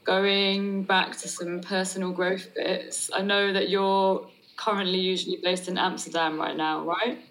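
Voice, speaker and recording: A woman's voice: mid-range voice, flat delivery, unhurried, a bit bright, rough recording. A woman hosting an interview, asking friendly questions with fillers and restarts. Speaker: host. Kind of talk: casual interview chat. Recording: faint background noise.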